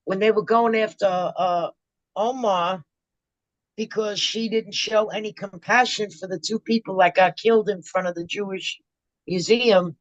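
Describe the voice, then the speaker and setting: A woman's voice: medium pitch, steady tone, a little slow, dry recording, lo-fi sound. Woman co-host chatting plainly on a livestream, dry close sound. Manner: plain, chatty, reads viewer comments aloud. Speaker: co-host of a political chat livestream. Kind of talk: casual live-stream chat.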